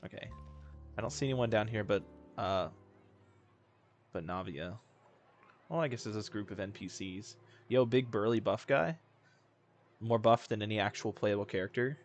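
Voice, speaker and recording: A man's voice: lowish voice, moderate pace, long pauses, faint music behind. Jokey young guy gaming. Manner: rambling, jokey. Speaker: game streamer. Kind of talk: casual gameplay commentary.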